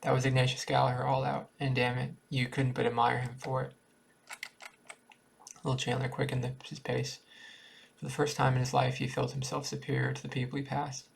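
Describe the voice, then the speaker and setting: Gravelly-voiced man reading a story aloud. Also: mid-range voice, completely flat delivery, a little hiss or hum. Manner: halting, stumbles and rereads words. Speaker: amateur story reader.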